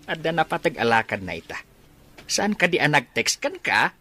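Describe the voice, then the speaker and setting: A man plays a hospitable, teasing middle-aged father in an Ilocano radio drama. His voice is husky and his pitch swings very widely as he talks, with an Ilocano accent. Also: very animated, moderate pace, bright, forceful tone, full character voice. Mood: welcoming.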